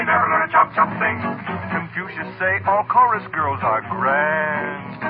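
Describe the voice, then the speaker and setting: A man theatrically singing a 1940s comic novelty number in clipped mock pidgin English. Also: high-pitched. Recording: tinny 1940s radio recording, loud music.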